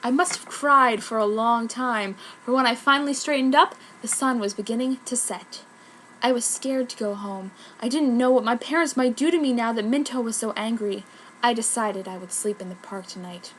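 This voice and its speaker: Female story reader, high bright voice, fanfiction narration, noisy recording. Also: moderate pace. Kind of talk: dramatic comic reading of a story aloud.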